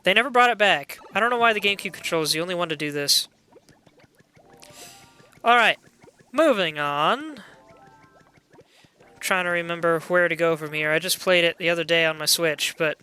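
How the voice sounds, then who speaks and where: Bright, chatty young streamer. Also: medium pitch, clean tone. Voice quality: loud voice. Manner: chatty and excitable, greets and reads viewer chat aloud. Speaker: game streamer. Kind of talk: casual live gameplay chat.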